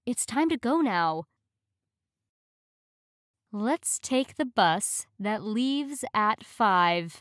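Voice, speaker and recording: A woman reading short English practice phrases in a clear, measured way, each one said twice. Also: medium pitch, lively intonation, fairly bright tone, no room sound, studio quality.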